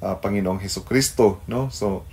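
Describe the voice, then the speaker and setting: A male Filipino pastor hosting a devotional radio program, speaking haltingly and searching for words. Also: on the low side, darkish tone. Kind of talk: devotional talk mixing Tagalog and English.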